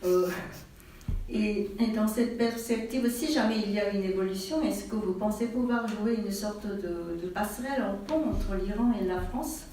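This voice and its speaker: A woman interpreting at a library book event, talking briskly with fillers and restarts. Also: medium pitch, warm tone. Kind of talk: interpreting questions and answers. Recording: very echoey hall from far off, faint noise.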